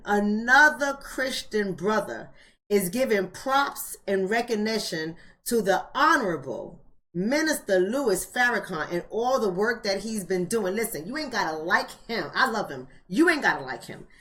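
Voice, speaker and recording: A woman's voice: mid-range voice, big pitch swings, normal speed, a bit bright. A forty-year-old American woman hosts a casual live online chat in a mid-range voice. She talks directly to her viewers in colloquial language. She often repeats a phrase to drive it home emphatically. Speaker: host of a live online book club.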